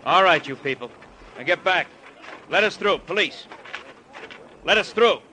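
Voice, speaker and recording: Brisk radio policeman on a noisy recording. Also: high voice, expressive, sharp tone, heavily acted. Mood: urgent. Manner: brisk, commanding. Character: urgent, dutiful male police detective sidekick. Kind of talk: old-time radio crime drama acting.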